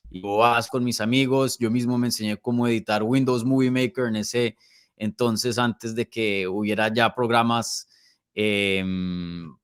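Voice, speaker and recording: A man in his early thirties with a Colombian accent, talking casually as an interview guest with hesitant, pausing phrasing. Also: fairly low pitch, steady tone, smooth, no room sound, clean studio sound. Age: early 30s. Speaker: podcast guest, MMA journalist.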